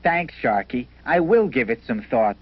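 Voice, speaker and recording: A man's voice: medium pitch, sing-song, a little slow, husky. A man playing an earnest, inventive village banker who muses aloud in a 1970s educational cartoon. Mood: friendly. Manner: musing aloud, businesslike. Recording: dull old 1970 film soundtrack.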